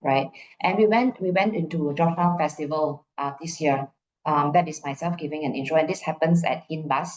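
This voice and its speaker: A woman's voice: deep voice, brisk, a little mellow. A Malaysian woman at the front of a conference hall, explaining her slides point by point, her voice bouncing off the walls and slightly dulled. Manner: repeats phrases for emphasis, checks in with "right?". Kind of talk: conference presentation, informal and explanatory. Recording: muffled, echoey room.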